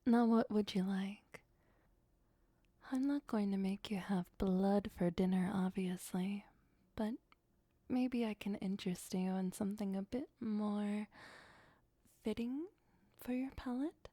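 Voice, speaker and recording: Woman acting a softly whispering, possessive vampire girlfriend. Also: medium pitch, slow pace, long pauses, dry recording. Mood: teasing, affectionate. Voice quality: soft, breathy, often whispered. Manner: sweet, teasing, softly possessive. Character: possessive, doting, ancient female vampire girlfriend. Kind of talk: ASMR roleplay.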